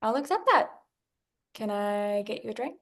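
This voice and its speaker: A woman reading the part of a guarded, defensive dancer in a film table read, conversational with a creaky voice. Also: on the high side, expressive, normal speed, a bit bright. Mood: wry, guarded.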